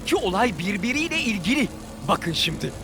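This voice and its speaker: Adventurous monkey kid, really high voice, dramatic, cartoon style, loud music. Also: male voice, sing-song, a little fast. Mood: serious, intrigued. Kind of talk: children's cartoon acting.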